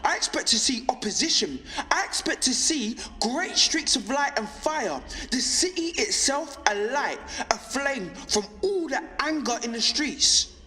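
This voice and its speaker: An actor playing a defiant young pirate radio broadcaster in an audio drama, delivering a measured, impassioned monologue. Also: male voice, a little high, lively intonation, pushed and bright, in a large hall. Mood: impassioned, angry.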